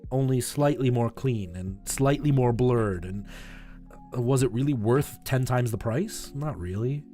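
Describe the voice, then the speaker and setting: Man, mid-pitched voice, casual streamer chat, conversational explaining, music playing behind. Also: measured pace, warm tone. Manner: conversational, explanatory, often checks in with "right?".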